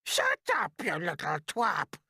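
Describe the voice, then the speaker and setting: A man voicing a shrill, spiteful old aunt in an audiobook, shrieking and snapping at everyone. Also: animated, pushed and bright, dry recording, clean studio sound, heavily acted. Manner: shrieking, snapping, drawn-out exclamations. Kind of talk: audiobook character voice.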